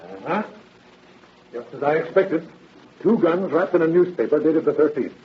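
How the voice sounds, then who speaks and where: A man playing a brisk, businesslike police captain in 1930s radio style, with clipped, authoritative questioning on an old recording. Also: on the high side, expressive, muffled recording, a little hiss or hum, natural voice. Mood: stern.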